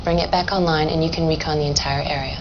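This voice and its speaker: A woman's voice: sits low, fairly even intonation, fast, close to an everyday voice. A woman playing a confident, efficient army intelligence lieutenant in a game cutscene, assured and businesslike. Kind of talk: video game cutscene acting. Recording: dull audio, clear background noise.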